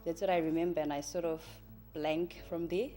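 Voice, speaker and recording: A woman's voice: deep voice, steady tone, a little fast, mellow. A woman in her early thirties with a Zimbabwean accent, a native Shona speaker, giving a hesitant, reflective personal testimony. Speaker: church member telling her life story. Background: music.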